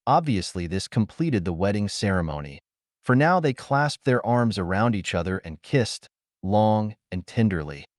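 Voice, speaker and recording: A man with a fairly low voice reads a story as an audiobook narrator. His scripted delivery is dramatic, with wide-ranging intonation, and the recording is dry and close. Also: lively intonation, moderate pace, no room sound.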